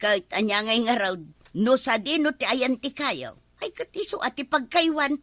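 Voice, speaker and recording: A woman's voice: a little high, lively intonation, moderate pace, fairly bright tone, no room sound, clean studio sound. A woman playing a scolding village housewife in a comedy radio drama, with an Ilocano accent. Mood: nagging. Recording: music behind the voice.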